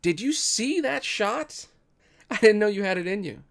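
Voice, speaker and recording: A man's voice: high voice, expressive, moderate pace, vocal fry, voice pushed up. A man voices an ambitious young brother in a storytelling podcast. He is eager and persuasive, pressing his case in a slightly raised voice. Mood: impressed. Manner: urging, coaxing.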